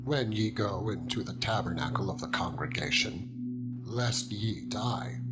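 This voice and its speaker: A man narrating scripture in a solemn, measured, declamatory style. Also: lowish voice, lively intonation. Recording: loud music bed under the voice. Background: loud music.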